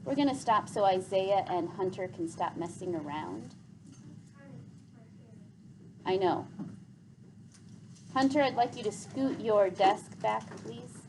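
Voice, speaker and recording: A woman reading a story aloud as a teacher, narrating in a deliberate, expressive way. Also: mid-range voice, noisy background. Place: classroom, indoors.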